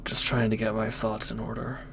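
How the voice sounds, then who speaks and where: A man playing a former villain turned hesitant, conflicted lover, speaking earnestly and haltingly in an intimate audio roleplay. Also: on the low side, little pitch movement, gravelly, background noise. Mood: hesitant, anxious.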